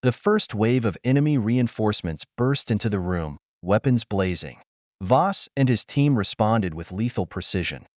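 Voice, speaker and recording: A man's voice: fairly low pitch, expressive, normal speed, a little mellow, no echo, studio quality. A man reading a science fiction adventure story as an audiobook narrator, in a dramatic, earnest manner.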